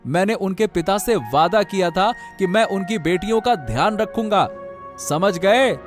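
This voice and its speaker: A man's voice: sits high, unhurried. A man voicing an indignant, principled young village farmer in a Hindi cartoon moral story. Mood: pleading, firm. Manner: scolding, earnest. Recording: music bed under the voice.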